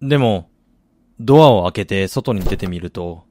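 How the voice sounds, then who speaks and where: An actor playing a weary, reflective middle-aged man in a radio drama, recounting his losses in a measured, confessional way. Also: low voice, lively intonation, warm tone, slight background noise. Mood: reflective, haunted. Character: weary man who lost family, confessional, brooding. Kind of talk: Japanese radio drama acting.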